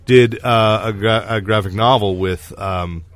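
A man's voice: on the low side, unhurried. A man hosting a podcast, chatting casually and explaining things about comics and films at length. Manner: talkative, explanatory. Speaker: podcast host.

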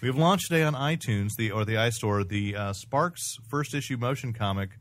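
An American man hosting a casual podcast chat, speaking in a halting, hedging way. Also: mid-range voice. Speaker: podcast host. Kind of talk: casual chat about comics and pop culture.

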